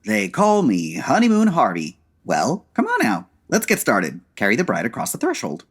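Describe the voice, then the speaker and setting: A man playing a nosy, pushy hotel bellboy with a husky, fussy, officious delivery in old-time radio comedy style. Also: medium pitch, huge pitch swings, normal speed, full character voice.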